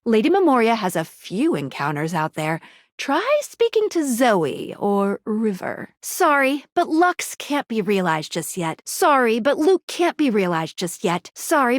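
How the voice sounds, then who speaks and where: A woman voicing a chipper, helpful young female magical guide in a video game, with a perky, playful and expressive manner. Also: a little high, no echo, clean studio sound. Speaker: voice actor playing a guide character in a dating simulator game. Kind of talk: animated video game character dialogue.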